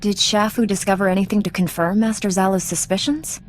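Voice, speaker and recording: A woman playing a calm, protective Jedi master in a video game, direct and authoritative. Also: mid-range voice, brisk, very noisy, natural voice. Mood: businesslike. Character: composed, protective female Jedi master, firm and loyal. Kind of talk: scripted video game dramatic acting.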